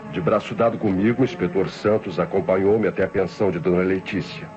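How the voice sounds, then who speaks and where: A Brazilian man playing an anxious murder suspect in an old radio drama, with measured, brooding, literary delivery. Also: sits low, steady tone, a little mellow, lightly acted. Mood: brooding, tense. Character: anxious male murder suspect narrating his own downfall. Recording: muffled vintage radio recording, music behind.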